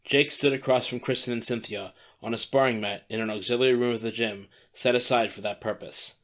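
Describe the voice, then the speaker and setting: A man's voice: medium pitch, fairly even intonation, fairly quick, a bit bright. A man narrating an audio drama in a scripted storytelling style.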